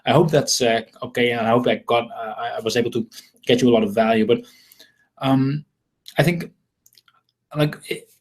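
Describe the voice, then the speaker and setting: A man's voice: medium pitch, steady tone, normal speed, pauses often. A man from the Netherlands with a Dutch accent, hosting an informal live sales webinar in a rambling, repetitive, self-deprecating way. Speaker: webinar host selling marketing software.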